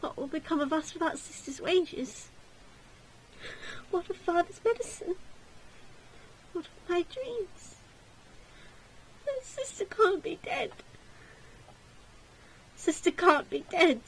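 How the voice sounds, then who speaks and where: A woman's voice: extremely high pitch, lively intonation, takes it slowly, long pauses, fairly bright tone, slight background noise, pitched above the performer's own voice, full character voice, low-quality recording. A woman voicing a distraught, guilt-stricken young woman from a gothic visual novel, with a tearful, quavering and pleading delivery. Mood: anxious, grieving. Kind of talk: dramatic visual novel character reading.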